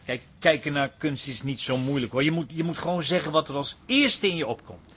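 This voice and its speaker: Cheeky broke artist type, comic radio play, stammery and eager, swoopy highish voice. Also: male voice, animated, fairly quick, a bit bright, slightly rough. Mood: eager, scheming. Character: hapless, cheeky, self-promoting amateur artist and photographer. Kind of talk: comic radio drama acting.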